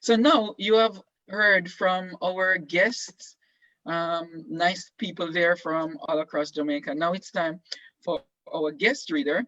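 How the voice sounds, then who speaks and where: A man's voice: high-pitched, steady tone, takes it slowly, sharp tone, dry recording, muffled recording. A man casually hosting an online event as he introduces guests. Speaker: host. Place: online video call.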